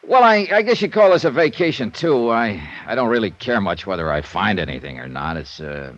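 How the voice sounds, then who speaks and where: A wry American investigator in old-time radio drama. The voice is fairly low and swings freely in pitch, casual yet probing. The sound is dull, like an aged broadcast recording. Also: male voice, lively intonation, a little fast, fairly bright tone. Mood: easygoing. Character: shrewd, easygoing male insurance investigator hero. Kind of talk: 1950s radio detective drama acting, dialogue and narration.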